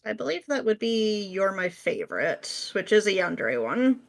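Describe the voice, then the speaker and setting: A woman's voice: medium pitch, very animated, normal speed, creaky. A woman with a mid-range voice talks casually as a guest on a podcast. Her delivery is playful and conversational. She tends to voice imagined remarks as she explains herself. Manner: playful, acts out imagined quotes. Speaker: podcast guest, script writer. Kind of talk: casual chat.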